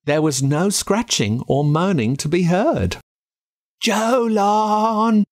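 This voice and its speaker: A man's voice: a little high, very animated, unhurried, a little mellow, breathy edge. An Australian man reads a spooky first-person story aloud, telling it dramatically. His voice sits a little above the usual male range, and his intonation swings widely as the tension builds. It is the storytelling manner of a spoken audiobook. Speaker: audiobook narrator.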